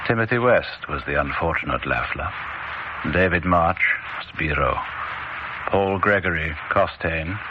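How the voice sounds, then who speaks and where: A man narrating a radio drama in a measured, grave manner, on a dull, noisy old radio recording. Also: sits low.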